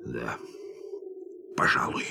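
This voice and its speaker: An actor playing a grave, dutiful spaceship captain in a radio play, often hushed to a near whisper. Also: male voice, takes it slowly, over music, natural voice. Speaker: ship captain.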